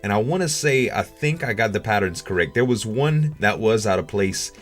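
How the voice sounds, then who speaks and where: Deep-voiced man casually narrating gameplay. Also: expressive, normal speed, soft background music. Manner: rambling, thinking aloud. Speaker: gaming video host.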